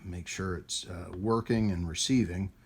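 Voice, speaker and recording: A man's voice: low voice, unhurried. A man talking casually in a hands-on tech repair vlog, rambling and hesitant as he thinks aloud. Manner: rambling, hesitant, talks to himself while working. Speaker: host of a radio repair video. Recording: clear background noise around the voice.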